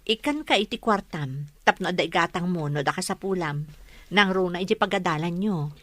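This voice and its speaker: A woman's voice: fairly low pitch, expressive, a little fast, a bit bright, dry recording. A woman playing a selfless, protective older sister in an audio drama, firm and full of advice.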